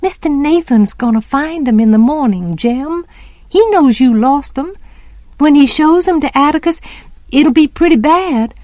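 Woman voicing a high-pitched, pleading, stubborn little girl. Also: lively intonation, measured pace, dark tone, dry recording. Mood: urgent reasoning. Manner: urgent, insistent pleading. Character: desperate, pleading young Southern girl, stubborn and anxious.

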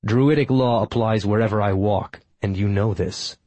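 A defiant druid hero voiced by a male narrator for a fantasy audiobook. His voice is fairly low and slightly rough, and he delivers his challenges with formal, commanding authority. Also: moderate pace, dark tone, dry recording, close to an everyday voice.

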